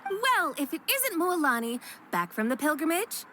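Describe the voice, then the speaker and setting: Casting: female village merchant for a game, fairly high voice, lively intonation, wry yet kindhearted. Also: moderate pace, slight background noise, heavily acted. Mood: friendly. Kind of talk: game voice acting.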